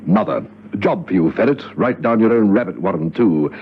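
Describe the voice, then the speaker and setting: An actor playing a bossy, flustered spy chief barking orders in a vintage radio drama. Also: male voice, fairly low pitch, lively intonation, moderate pace. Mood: commanding. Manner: flustered, peremptory. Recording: dull, noisy old radio recording.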